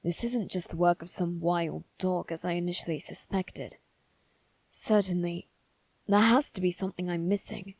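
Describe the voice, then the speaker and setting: ASMR roleplay lead: proud, brooding young noble boy voiced by a woman, soft dark timbre, close dry sound, deliberate and analytical. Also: fairly low pitch, fairly even intonation, no echo, rough recording. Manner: measured, musing aloud, formal phrasing with deliberate pauses. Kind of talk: ASMR roleplay, scripted dramatic monologue.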